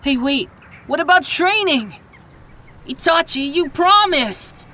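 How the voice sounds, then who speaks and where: A performer playing an eager, loyal little boy ninja in an audio drama, excitable and insistent. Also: high-pitched, slow pace, heavily acted. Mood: pleading. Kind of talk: fan audio drama acting.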